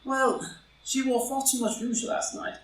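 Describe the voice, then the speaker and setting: A man's voice: extremely high pitch, fairly even intonation, unhurried, fairly bright tone. A man playing a flippant English dandy lord with a languid, arch, dryly witty manner in a stage comedy reading. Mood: amused, flippant. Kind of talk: live read-through of a stage comedy as audio drama. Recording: echoey room, distant mic, faint noise.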